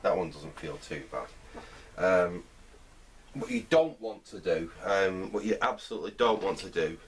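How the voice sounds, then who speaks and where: Deep-voiced middle-aged man. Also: animated, a little slow, slight background noise. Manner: hesitant, thinking aloud while working. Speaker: vintage radio restorer, presenter. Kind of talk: casual hands-on repair commentary. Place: indoor workbench.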